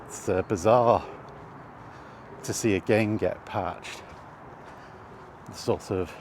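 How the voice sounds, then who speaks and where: A British man giving rambling, casual commentary while walking outdoors, halting and thinking aloud as he goes. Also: on the low side. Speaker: video host. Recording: outdoor ambient noise throughout.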